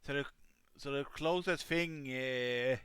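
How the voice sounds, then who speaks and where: A man hosting a live stream, helping players make characters and talking in a halting way with many fillers. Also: medium pitch, clean tone, dry recording. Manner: says he has a calming voice. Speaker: streamer running a game. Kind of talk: casual live-stream chat.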